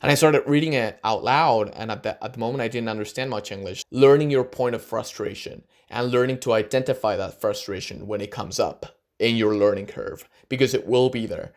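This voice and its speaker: Earnest young man giving advice. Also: mid-range voice. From Argentina. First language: Spanish. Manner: earnest, encouraging, sometimes slow and stressed. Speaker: vlogger. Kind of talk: casual advice talk to camera.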